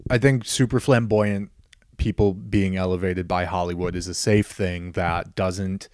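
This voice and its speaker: A man with a fairly low voice, co-hosting a podcast in loose conversation. He teases in a dry, deadpan way and states blunt opinions flatly. He is recorded close on a dry mic. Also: no room sound. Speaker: podcast co-host. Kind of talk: casual chat.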